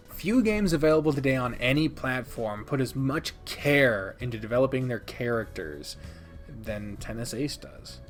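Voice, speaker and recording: Male game reviewer, mid-pitched, earnest and dramatic, sweary countdown commentary with music underneath. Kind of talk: enthusiastic video commentary, part scripted, part off the cuff. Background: background music.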